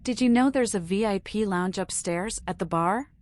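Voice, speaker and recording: An actress playing a composed, investigative writer in a noir video game, assured and matter-of-fact. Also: female voice, medium pitch, normal speed, a little mellow, close to an everyday voice. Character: female animal writer, composed, investigative, businesslike.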